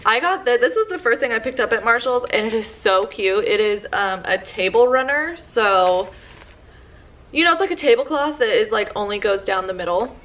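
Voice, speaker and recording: A woman's voice: on the high side, expressive, moderate pace, fairly bright tone. Chatty young woman filming at home. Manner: chatty, lots of "like" fillers. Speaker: vlogger. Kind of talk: casual shopping haul chat. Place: living room at home.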